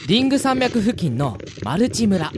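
A woman's voice: mid-range voice, sing-song, moderate pace, background noise, lightly acted. A woman playing a polite, sensible younger brother, an earnest young mage who chides his elder, in a Japanese fantasy radio drama. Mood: businesslike.

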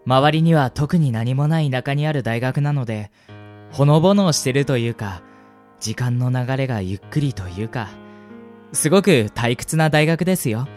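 Radio drama lead: male actor as courteous, bashful male student in love, soft and earnest, music underneath. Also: medium pitch, lively intonation, normal speed, close to an everyday voice. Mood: friendly. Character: polite, shy, smitten young male college student. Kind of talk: radio drama dialogue and inner narration.

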